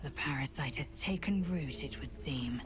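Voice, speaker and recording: A woman playing a stern, proud alien warrior woman in a video game, clipped, grave and formal with a hushed, breathy edge. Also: rumbling low, animated, normal speed, music in the background. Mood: grave, suspicious. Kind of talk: video game character dialogue.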